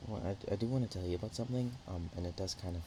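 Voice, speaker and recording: A man voicing a shy, playful young dragon-dog girl in an ASMR roleplay, speaking softly and haltingly with naive affection. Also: low-pitched, noisy background, lightly acted. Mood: playful, cozy. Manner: hesitant, halting, giggly, soft-spoken. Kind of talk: ASMR roleplay, one-sided intimate chat.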